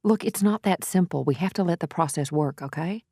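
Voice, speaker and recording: An earnest female defense lawyer with a low voice. She is measured and soothing, reassuring as she goes, in dramatic audiobook dialogue recorded dry. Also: dark tone, dry recording. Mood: cautioning.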